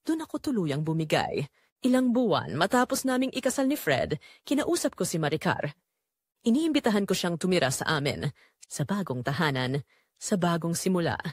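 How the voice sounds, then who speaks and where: A wounded middle-aged mother played by a woman confesses her heartbreak as audio drama narration. Her delivery is earnest and deliberate, with a widely moving pitch and a very dry recording. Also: sing-song, no echo. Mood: wistful, sorrowful.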